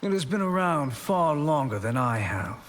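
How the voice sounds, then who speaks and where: A man's voice: on the high side, big pitch swings, moderate pace, a little hiss or hum. A man voicing a smug, amoral sorcerer villain in a video game, formal and mocking in manner. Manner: mocking, smooth-tongued, formal. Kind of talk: video game fighting character dialogue.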